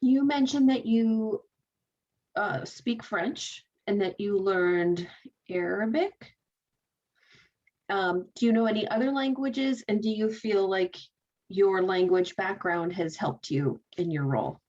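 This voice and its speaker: A woman moderating an online career panel, asking guests brisk, polite questions over a video call. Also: medium pitch, darkish tone. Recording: distant, muffled video call audio.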